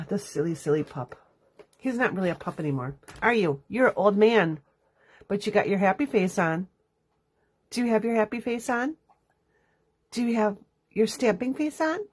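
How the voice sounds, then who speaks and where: A woman's voice: medium pitch, normal speed, long pauses, husky. A congested woman with a nasal sound, chatting casually and rambling while teaching a card-making class live from home. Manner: chatty, rambling, laughs often. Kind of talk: casual livestream chat.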